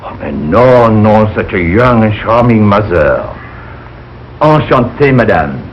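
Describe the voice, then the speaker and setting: An actor playing a charming, flattering French professor with a French accent in a 1940s radio sitcom. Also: male voice, on the low side, slow. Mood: courtly, flattering. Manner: suave, effusive. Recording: muffled, noisy old radio recording.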